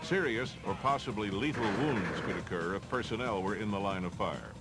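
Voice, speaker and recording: A man's voice: fairly low pitch, expressive, a little fast, loud music behind the voice. A man with an American accent reads formal, matter-of-fact instructional narration for a training film.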